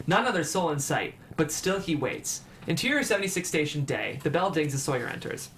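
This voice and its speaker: A man's voice: on the high side, sing-song, a little fast, fairly bright tone. A man reading scripted stage directions as the narrator of a table read. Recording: clear background noise.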